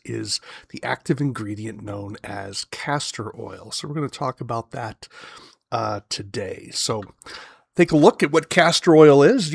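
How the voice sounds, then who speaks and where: A man hosting an educational podcast, hoarse and raspy from a cold, talking casually with many fillers and asides. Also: medium pitch, dry recording, studio quality. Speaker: podcast host.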